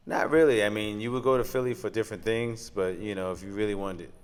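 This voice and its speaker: A man's voice: on the low side, steady tone, fast. A middle-aged man from Pennsylvania with an American accent, reminiscing casually as an interview guest in a laid-back, conversational way. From Allentown, Pennsylvania, USA. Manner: laid-back, peppers talk with "you know". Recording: clear background noise.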